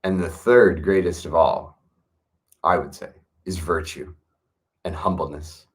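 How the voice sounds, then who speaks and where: A man reading an old wisdom text aloud in a measured, earnest way, with brief casual asides to his listeners. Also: low voice, fairly even intonation. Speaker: reader of a classic text.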